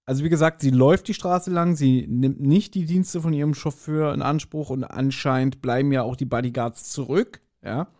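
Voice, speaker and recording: A man co-hosting a podcast, casually discussing and speculating at length with pauses and self-corrections. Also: medium pitch, a little mellow. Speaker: podcast co-host. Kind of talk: casual audio drama discussion.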